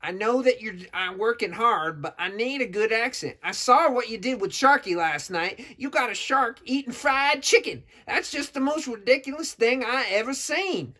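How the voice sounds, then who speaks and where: A man's voice: extremely high pitch, expressive, normal speed, few pauses, pushed and bright, heavily acted. A man voicing a bossy, proud comic puppet character with a Southern US accent and a folksy, scolding manner. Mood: nagging.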